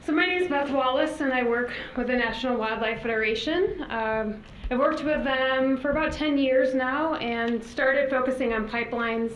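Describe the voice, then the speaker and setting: A woman giving a brisk, earnest public presentation in a loud voice. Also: high voice, a little hiss or hum, poor audio. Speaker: guest speaker on pipelines.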